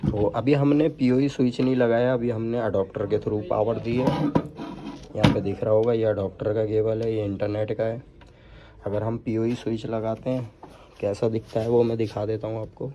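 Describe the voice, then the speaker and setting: A man's voice: lowish voice, little pitch movement, normal speed, dull audio, noisy background. A man giving an unscripted, step-by-step hands-on installation demo, mixing in English technical terms. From India. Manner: step-by-step explaining with English technical words mixed in. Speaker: product installation demonstrator.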